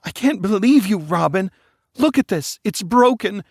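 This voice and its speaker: A man voicing a whiny young bride-to-be who is shrill and tearful. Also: expressive, a little slow, darkish tone, breathy edge, no room sound, studio quality, exaggerated voice. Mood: upset.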